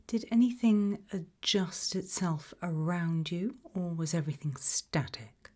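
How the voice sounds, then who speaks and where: A British woman whispering in a breathy voice, asking gentle, measured questions as an interviewer in an ASMR roleplay. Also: lowish voice.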